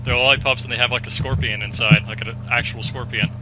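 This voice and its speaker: A man in his twenties chatting casually as a podcast host, animated and given to joking exaggeration. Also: medium pitch, fast talker, loud music behind the voice, low-quality recording. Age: 20s.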